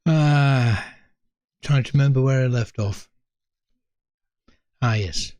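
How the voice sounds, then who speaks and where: An English man in his eighties telling a reflective story from his own life in a measured manner, pausing between short phrases. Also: mid-range voice, a little mellow, no echo. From North East England. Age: 80s. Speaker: storyteller, host of own video series. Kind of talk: reflective autobiographical storytelling to camera.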